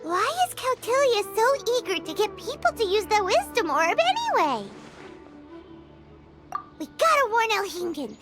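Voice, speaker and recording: A woman voicing a small, excitable, childlike fairy companion in an anime game, squeaky and exclamatory. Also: normal speed, exaggerated voice. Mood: indignant, realizing. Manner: speaks of self in third person, exclamatory. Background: music.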